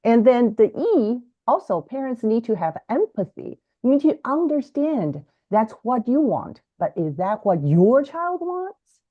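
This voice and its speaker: A woman's voice: a little high, a little slow, no room sound. A woman whose first language is Chinese, a guest language educator explaining ideas in a teacherly way on a video call, often restarting phrases. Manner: animated, self-correcting, teacherly. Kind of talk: explanatory interview talk. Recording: dry, slightly dull lo-fi audio.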